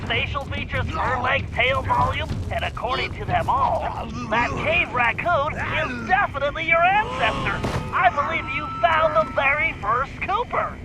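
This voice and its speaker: A brainy, anxious little turtle from a cartoon video game. His voice is very high and nasal, and he speaks in precise, wordy instructions. Music plays clearly behind him. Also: lively intonation, a little slow. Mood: amazed. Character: brainy, anxious male turtle tech sidekick giving mission orders. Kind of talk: animated video game dialogue.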